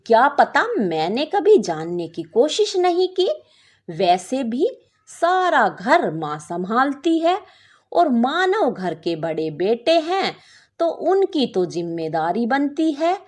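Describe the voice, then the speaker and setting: A woman voicing a naive, gentle young daughter-in-law in a story, innocent and trusting. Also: sits high, very animated, takes it slowly, exaggerated voice. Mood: unsure, innocent.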